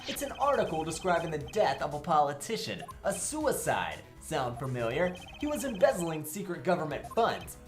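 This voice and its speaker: A brash young defense attorney from a comedic courtroom game, voiced by a man. His pitch leaps around as he talks, sarcastic, slangy and excitable. The sound is roomy, with light music. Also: moderate pace, hardly any pauses. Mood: accusing. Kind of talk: comedic fan dub of a courtroom video game.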